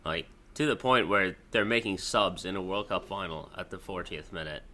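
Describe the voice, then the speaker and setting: A man's voice: low-pitched, moderate pace, pushed and bright, a little hiss or hum. A man with a mixed international accent co-hosting a casual football podcast, blunt, wry and sweary. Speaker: podcast co-host.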